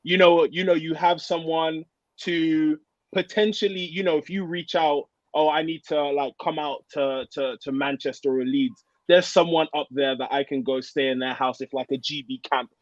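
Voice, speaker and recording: A man's voice: a little high, steady tone, moderate pace, no echo, dull audio. A man of thirty chatting casually as a podcast guest, in an informal, slangy and earnest way. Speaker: guest, American football player.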